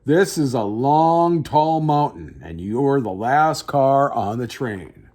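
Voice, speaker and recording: A man reading a storybook as a train flat car, calling out urgent warnings. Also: mid-range voice, slow. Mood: cautioning.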